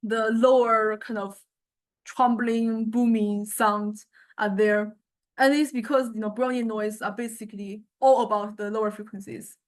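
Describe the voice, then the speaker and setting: A woman giving an informal software demonstration lecture, hesitantly thinking aloud and searching for words as she explains. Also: fairly high pitch, steady tone, a little slow. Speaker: music technology lecturer.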